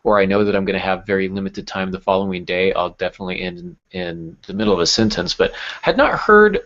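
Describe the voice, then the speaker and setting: A man's voice: sits low, expressive, fast, fairly bright tone. A man hosting a podcast in a casual, conversational style. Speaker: podcast host. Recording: dull, lo-fi audio.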